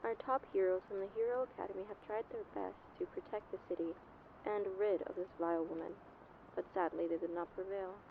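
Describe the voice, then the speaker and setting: A woman's voice: mid-range voice, fairly even intonation, fairly quick, creaky. A woman voicing a female news reporter who reads a bulletin in a formal announcing tone through a broadcast filter. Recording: tinny broadcast with faint noise.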